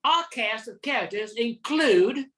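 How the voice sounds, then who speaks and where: A woman's voice: on the high side, lively intonation, a little slow. An older woman playing a folksy narrator of bayou tales in a scripted radio drama. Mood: storytelling.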